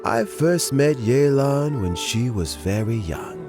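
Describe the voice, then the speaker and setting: An older man playing a wise, measured elder adviser in a video game, deliberate, sage and expressive. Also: medium pitch, music in the background. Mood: sage, reflective.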